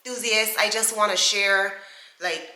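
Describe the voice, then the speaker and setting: A woman's voice: medium pitch, steady tone, moderate pace, bright, forceful tone, reverberant, poor audio. A young woman from Barbados with a Barbadian accent, chatting casually to camera in a rambling way and calling her viewers guys. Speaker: beauty vlogger.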